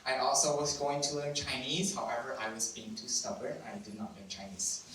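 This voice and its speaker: A man's voice: mid-range voice, steady tone, a little fast, noisy background. A young man from Cambodia with a Cambodian accent tells a personal story at a live storytelling event. He laughs often, mocks himself and swears cheekily. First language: Khmer. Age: young adult. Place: event venue with audience. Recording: very echoey room, mic at a distance.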